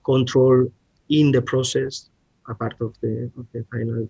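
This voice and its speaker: A man with a Spanish accent explains technical matters haltingly, restarting words as he goes. His pitch is average, and the sound is dry and somewhat muffled, like a lo-fi online call. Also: little pitch movement, vocal fry, warm tone, slightly rough, no room sound. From Spain. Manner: halting, searching for words with repeated starts. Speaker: R&D director, podcast guest. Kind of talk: technical presentation and discussion.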